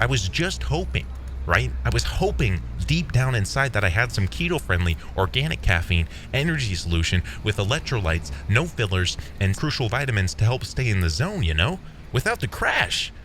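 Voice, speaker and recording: A man playing an excitable, incredulous caller rambling through a comedic ad monologue. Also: mid-range voice, slight background noise, voice pushed up. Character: excitable, rambling man pitching a product over the phone.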